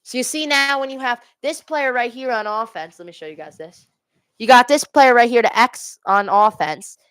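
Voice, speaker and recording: A woman's voice: fairly high pitch, normal speed, fairly bright tone, no room sound. A fan's livestream watch party, where a woman calls the hockey informally and corrects herself as she goes, recorded dry. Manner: exasperated Devils fan, self-correcting, explains plays informally. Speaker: play-by-play commentator hosting a fan watch party. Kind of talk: live amateur hockey commentary.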